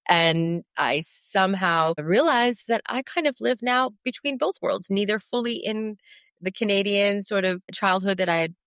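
A woman's voice: medium pitch, moderate pace, fairly bright tone, clear, resonant tone, no echo, clean studio sound. A woman in her forties, born in Afghanistan and raised elsewhere, answering interview questions in a reflective, thoughtful way. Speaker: guest.

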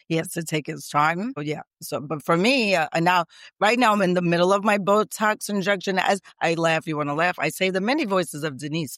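Podcast guest: middle-aged woman, raspy and strained, emphatic and repetitive, close and dry. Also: lowish voice, a bit bright, dry recording. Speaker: guest, speech pathologist. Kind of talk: casual podcast interview.

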